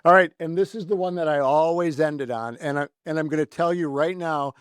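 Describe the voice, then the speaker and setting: A man's voice: a little high, fairly quick, smooth, no echo, clean studio sound. An American man hosting a casual podcast interview in a chatty, informal, effusive way. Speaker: podcast host.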